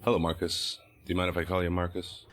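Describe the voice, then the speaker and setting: A formal police detective with a very low voice. He speaks in a measured, procedural way, as in an audio drama interrogation. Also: monotone, a bit bright. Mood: formal, controlled.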